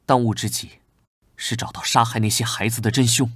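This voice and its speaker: An actor playing a gentle young knight investigator in an animated drama, polite and considerate. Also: male voice, mid-range voice, a little fast, husky, no room sound, studio quality. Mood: serious. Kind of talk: Chinese animated drama voice acting.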